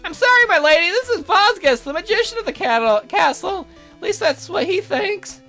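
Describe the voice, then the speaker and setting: A devoted bird servant voiced by a man in a visual novel game, extremely high-pitched and swooping. The delivery is flustered and deferential, quick to take offence on the lady's behalf, with music underneath. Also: expressive, sharp tone, full character voice. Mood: protective. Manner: flustered, deferential, easily indignant. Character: excitable, devoted bird attendant to a lady.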